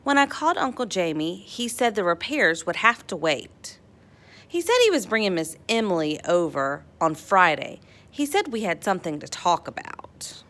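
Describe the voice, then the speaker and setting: Bright, animated woman reading a storybook. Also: on the low side. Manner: animated, dramatic emphasis, playful drawn-out words. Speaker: storybook read-aloud narrator. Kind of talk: expressive children's picture book read-aloud.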